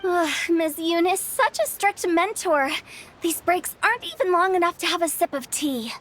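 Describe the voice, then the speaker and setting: A woman's voice: very high voice, lively intonation, moderate pace, a bit bright. A woman playing a mischievous young funeral director in a video game, with a playful, theatrical, showy delivery. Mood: playful grumbling. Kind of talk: English video game voice acting.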